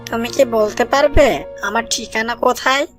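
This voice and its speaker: A man's voice: very high voice, normal speed, fairly bright tone, pitched above the performer's own voice. A man voicing a small, timid but clever orphan duckling that calls out in a pleading way, in a cartoon voice-over. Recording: cartoon voice-over with background music.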